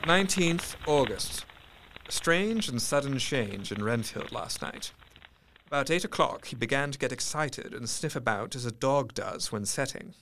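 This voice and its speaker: A man's voice: mid-range voice, expressive, moderate pace, fairly bright tone, a little hiss or hum, low-quality recording. An actor playing a grave, melancholy Victorian asylum doctor, narrating his diary precisely and earnestly in a gothic audio drama. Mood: clinical, intrigued.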